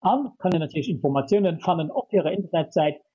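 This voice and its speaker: Husky, muffled man explaining haltingly. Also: fairly high pitch, lively intonation, dark tone. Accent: Luxembourgish. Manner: hesitant, many fillers. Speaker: guest from a communication center. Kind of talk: radio interview answers.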